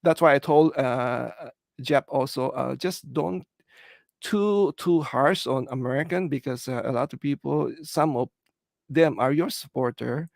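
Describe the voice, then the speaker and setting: A middle-aged man with a Filipino English accent speaks in a slightly high, gravelly voice, recorded dry and close with no room sound. He chats casually on a livestream, pausing often on "uh" and "you know" as he reads out viewer comments. He sounds earnest and reassuring. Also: a little mellow, no echo. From Philippines. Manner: halting, full of "uh" and "you know" fillers. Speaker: livestream host.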